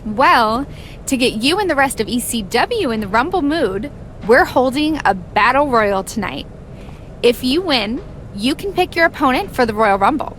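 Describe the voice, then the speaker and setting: A woman playing a businesslike wrestling show manager in a video game, explaining things briskly. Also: sits high, expressive, a bit bright, low-quality recording. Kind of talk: scripted wrestling game cutscene acting. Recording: background noise in game scenes.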